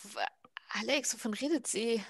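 A woman playing in a tabletop roleplay session, speaking in character with halting, exclamatory phrasing. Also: fairly high pitch, slight background noise. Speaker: roleplay player. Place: room at home.

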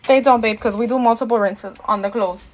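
A woman's voice: a little high, fairly even intonation, fairly quick, darkish tone, a little hiss or hum. A woman, chatting casually as a live-stream host, thanking viewers and slipping into Spanish, a bit tired and out of breath. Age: 30s.

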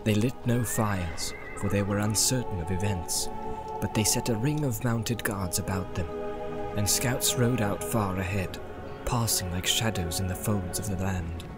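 A man's voice: lowish voice, unhurried. A man narrating a fantasy audiobook in a dramatic, scripted storytelling style. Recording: loud music behind. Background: loud music.

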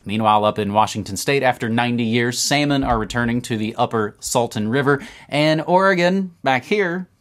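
A man in his thirties hosting a casual news roundup to camera from a room at home, animated and talking with his hands. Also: mid-range voice, a little fast, few pauses. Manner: animated, talks with his hands, upbeat asides. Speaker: podcast host. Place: home room with record shelves.

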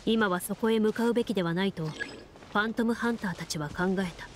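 A woman's voice: medium pitch, slight background noise, lightly acted. Woman playing a composed, solemn game master. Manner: measured, solemn storytelling. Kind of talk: scripted narration.

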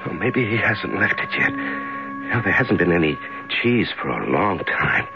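A very low-voiced, lonely captive murmurs in halting, tender musings, swinging widely between despair and gentleness. It is old radio drama, with a dull sound and music behind the voice. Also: male voice, big pitch swings, full character voice. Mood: searching, wondering. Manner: halting, musing, repeats words, talks to himself. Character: lonely, despairing male prisoner tenderly talking to a mouse. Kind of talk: 1970s radio drama acting.

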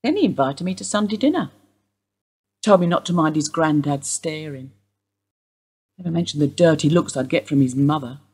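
An actress playing a sharp-tongued, bitter woman in her sixties, wry and sardonic in a radio play. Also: low-pitched, animated, moderate pace, long pauses, husky.

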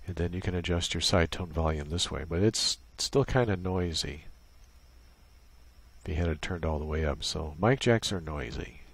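A man's voice: deep voice, moderate pace, gravelly, husky. A man presenting an unscripted tutorial, explaining a setup casually, step by step. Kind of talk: casual unscripted software walkthrough.

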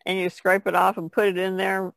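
A woman's voice: mid-range voice, monotone, a little fast, gravelly, dry recording. A woman talking casually as a panelist on an art podcast. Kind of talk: casual chat.

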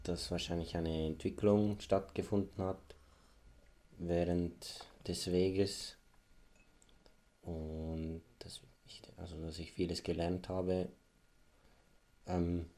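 A man's voice: sits low, dark tone. A man in his thirties with a Swiss German accent, answering interview questions casually and thoughtfully, often searching for words. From Switzerland. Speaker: interview guest. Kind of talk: casual recollection.

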